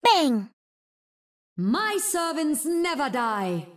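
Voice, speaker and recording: Witchy game villainess, high, swooping, commanding. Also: big pitch swings, very slow, full character voice. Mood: gleeful, commanding.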